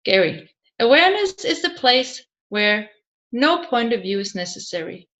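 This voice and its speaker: A woman with a fairly high voice narrates an audiobook from a script. She reads deliberately and in measured fashion, setting out the text in short, separate phrases. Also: very animated, fairly bright tone.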